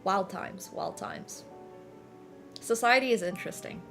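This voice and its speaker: A woman's voice: mid-range voice, wildly swooping pitch, unhurried, vocal fry, a bit bright, faint music behind. A young woman in her twenties whose first language is Finnish, chatting casually with her stream, laughing often and saying "like" and "bro" a lot. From Finland. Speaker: livestreamer.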